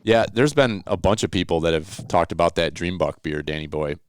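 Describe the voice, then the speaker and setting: A man's voice: low voice, a little fast, smooth, no echo, studio quality. A man hosting a casual outdoors podcast with live calls, talking in a rambling, joking, jovial way.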